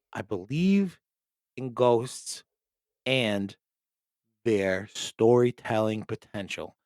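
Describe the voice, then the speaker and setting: A man's voice: medium pitch, dry recording, clean studio sound. A man with a Rhode Island accent hosting a podcast, chatting in a loose, joking way and swearing freely. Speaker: podcast host. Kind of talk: casual podcast chat.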